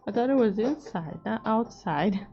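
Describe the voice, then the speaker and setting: A woman's voice: expressive, moderate pace, dark tone. A woman reacting casually to a game in a blunt, exclaiming way. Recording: muffled low-fidelity mic over game music.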